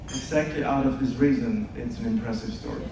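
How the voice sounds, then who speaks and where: A man's voice: medium pitch, brisk. A man, telling his story in an earnest motivational talk to an audience. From Switzerland. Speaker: speaker at an event, podcast host. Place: large event hall. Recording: distant echoey hall with noise.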